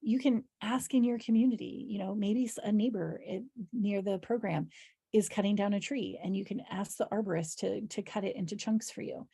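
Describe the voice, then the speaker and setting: A woman with a hoarse, husky voice giving an informal instructional presentation as an educator, explaining in a conversational way with practical examples. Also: mid-range voice, a little fast, dark tone, dry recording. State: hoarse voice, clearing her throat. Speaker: early childhood educator leading a training.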